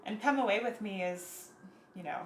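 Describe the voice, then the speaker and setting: A young woman singer-songwriter, soft-spoken, talking reflectively in a casual interview. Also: mid-range voice, normal speed. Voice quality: soft, airy voice. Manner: reflective, thoughtful, with frequent fillers. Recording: echoey room, faint noise.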